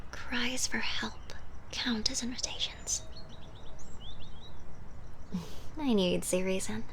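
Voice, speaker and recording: Woman acting as seductive female vampire, obsessive, sardonic, slow gravelly fry, intimate ASMR roleplay, clear background noise. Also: medium pitch. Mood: wry, threatening. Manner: flirty, sardonic, hushed and breathy delivery.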